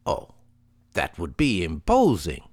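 An actor playing a cold, menacing killer with a smooth polite front in a 1940s-style radio thriller, sardonic and deliberate. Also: male voice, sits low, wildly swooping pitch, a little mellow, no echo, exaggerated voice. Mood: falsely polite.

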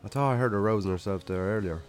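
An actor with an Irish accent playing a weary, lonely farmer in a naturalistic radio drama, plain-spoken and wry. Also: male voice, on the low side, fast, soft background music, close to an everyday voice. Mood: friendly.

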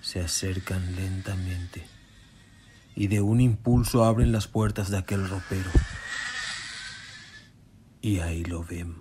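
A man narrating a scripted horror radio play in a measured, suspenseful way. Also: sits low, fairly even intonation. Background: prominent music.

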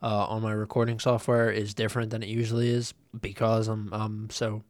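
A man's voice: lowish voice, level tone, fairly quick, dry recording. A young man hosting a casual solo podcast, nasal and congested from a cold, rambling loosely with lots of ums and uhs. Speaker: podcast host.

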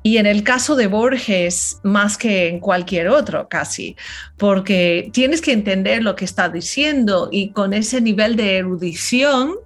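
A woman's voice: medium pitch, a little slow. A woman translator and scholar who grew up in the Philippines and California, answering interview questions reflectively with frequent restarts.

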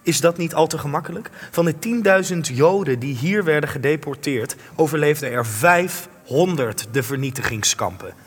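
Husky, confrontational interviewer, echoing. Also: male voice, on the high side, lively intonation, normal speed, few pauses. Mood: challenging. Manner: pointed, insistent, accusatory. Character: probing, confrontational male television interviewer. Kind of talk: Dutch radio play acting. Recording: long reverberant hall.

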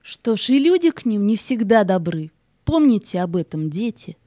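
A woman playing a kindly, motherly spirit of light who speaks gently and reassuringly in a children's audio play. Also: medium pitch, very animated, moderate pace, no room sound, clean studio sound, close to an everyday voice. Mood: tender, soothing.

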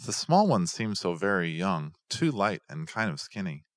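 A man's voice: sits low, sing-song, normal speed, no room sound, clean studio sound. A man voicing a doubtful, practical traveller in a rhymed storybook tale.

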